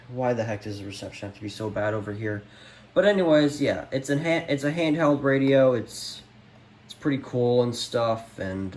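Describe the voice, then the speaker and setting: A man giving a casual, unscripted show-and-tell as a vlogger, talking in a slangy, easily exasperated way. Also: medium pitch. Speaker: vlogger showing a radio collection. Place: indoors, room at home. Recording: distant mic, faint background noise.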